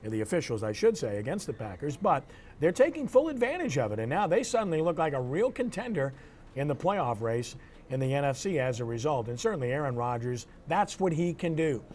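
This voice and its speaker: A man's voice: on the high side, very animated, brisk, a little mellow, a little hiss or hum. A man hosting a sports show, giving commentary and results in clipped, headline-style phrases.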